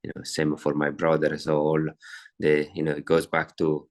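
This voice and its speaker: A man's voice: rumbling low, completely flat delivery, normal speed. A middle-aged man from Italy with an Italian accent, a racehorse trainer answering interview questions with many fillers and restarts.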